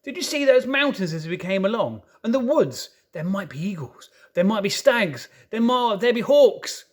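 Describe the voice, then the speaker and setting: Man acting an eager, lively schoolboy. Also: sits high, moderate pace, pitched above the performer's own voice. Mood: excited. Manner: enthusiastic, exclamatory. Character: eager, confident schoolboy, eldest brother.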